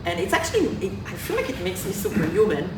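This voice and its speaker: A woman, Russian-born, speaks candidly and with self-deprecating humor, often restarting her sentences. Her voice sits fairly low and is picked up from a distance in a roomy hall. There is a steady hiss of noise around her. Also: fast. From Israel. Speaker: startup founder panelist. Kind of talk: live panel discussion. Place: event hall with audience. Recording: echoey room, distant mic, noisy.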